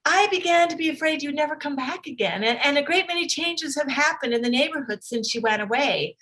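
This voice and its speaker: A woman's voice: sits high, animated, fairly quick, a bit bright. A woman playing a fussy, gushing middle-aged mother in a theatrical period drama reading, effusive and chattering.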